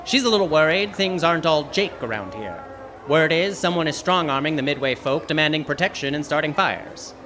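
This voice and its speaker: An actor playing a hard-boiled, wisecracking detective who narrates and talks with dry wit in a live old-time radio noir. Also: male voice, on the high side, brisk, slight background noise. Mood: cool needling. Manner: dry, deadpan, wry.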